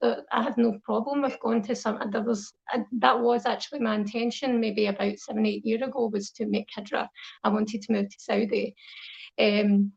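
A Scottish woman speaks as a guest in a casual interview. Her accent is thick and rugged, her voice fairly high but full of creak. The recording is a little dull and lo-fi. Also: level tone, moderate pace, a little mellow. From Scotland. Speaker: guest, online content creator and poet.

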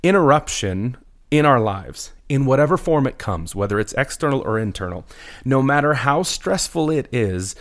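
A middle-aged man, a pastor, talking in a relaxed conversational way, earnest and a little preachy, who keeps asking "right?". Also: medium pitch, normal speed. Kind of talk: conversational podcast talk.

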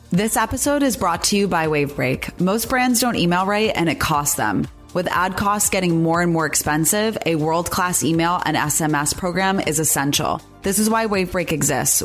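A woman hosting a podcast, reading a scripted intro and ad in a smooth, upbeat way. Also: on the low side. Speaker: podcast host. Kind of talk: scripted intro and ad read, casual interviewing.

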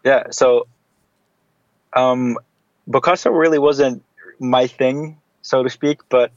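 A man's voice: medium pitch, steady tone, takes it slowly, no echo, dull audio. A man talking casually as a podcast guest, rambling with many fillers.

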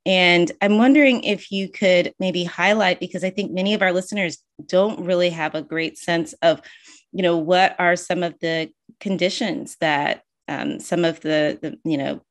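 Low-voiced woman podcast host. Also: moderate pace. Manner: enthusiastic, hesitant with fillers. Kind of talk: podcast interview.

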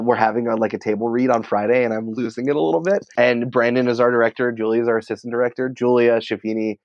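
A man talking casually as an interview guest, in an excitable, rambling, informal way peppered with filler words. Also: medium pitch, no echo, lo-fi sound. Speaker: podcast writer and producer, interview guest.

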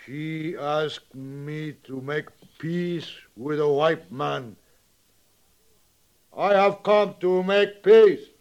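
An actor in a 1950s western radio drama playing a dignified, grieving Sioux chief who speaks solemn, halting, simplified English. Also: male voice, a little high, a little mellow. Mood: grave, grieving. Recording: muffled old radio drama recording.

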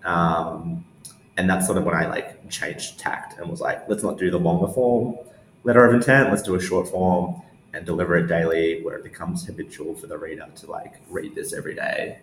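Deep voiced aussie guy chatting in an interview, kinda rambling, echoey room w bit of noise. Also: moderate pace. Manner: hesitant, meandering, heavy use of "like". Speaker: interview guest, newsletter founder. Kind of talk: casual interview answers. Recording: roomy echo with faint background noise.

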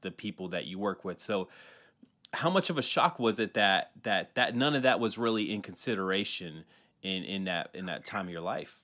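A man's voice: deep voice, lively intonation, normal speed, bright, forceful tone. A man hosting an interview, affirming his guest and repeating words as he frames his questions. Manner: affirming, with frequent repetitions. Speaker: host. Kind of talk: conversational interview.

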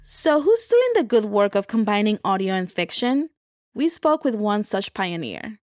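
A woman's voice: mid-range voice, big pitch swings, moderate pace, darkish tone, dry recording, clean studio sound, soft background music. A woman hosting a podcast in a scripted narration style. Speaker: podcast host.

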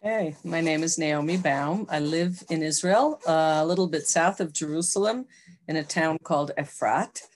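A woman's voice: low voice, moderate pace, a little hiss or hum. An older woman with an American accent, a psychologist talking about herself as a guest in a casual interview. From United States.

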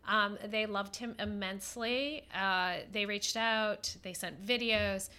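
Bright-voiced, nasal woman with a cold. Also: medium pitch, clean tone. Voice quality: a little nasal. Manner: chatty, with many fillers and pauses. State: stuffed up with a head cold. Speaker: podcast co-host. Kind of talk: casual podcast chat.

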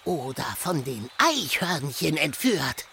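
A woman's voice: low-pitched, slow, slight background noise, heavily acted. A woman voicing a small, eager young chipmunk in a children's audio drama, childlike and playful. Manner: childlike, excitable.

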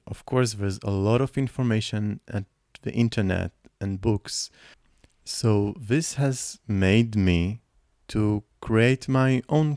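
A man's voice: on the low side, darkish tone, no room sound, studio quality. A man, hosting a podcast and telling his own story in an earnest, reflective way. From Israel. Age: 20s. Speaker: podcast host. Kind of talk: personal podcast monologue.

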